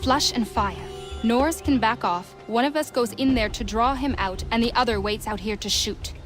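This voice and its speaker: A tough huntress of the colonial frontier, played by a woman in a video game. Her voice is on the high side, brisk and matter-of-fact with folksy phrasing. Music sounds clearly behind her. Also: lightly acted. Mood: focused.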